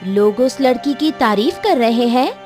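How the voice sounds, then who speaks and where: A woman's voice: a little high, animated, unhurried, a little mellow. A woman voicing a proud, jealous, scheming rich man's daughter in a cartoon village tale. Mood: jealous, defiant. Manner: haughty. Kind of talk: cartoon moral tale acting. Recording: music behind the voice.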